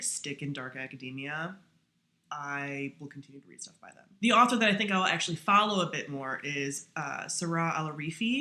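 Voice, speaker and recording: A woman's voice: low-pitched, sharp tone. A woman chatting casually to camera as a book reviewer, informal and slangy, with self-deprecating asides.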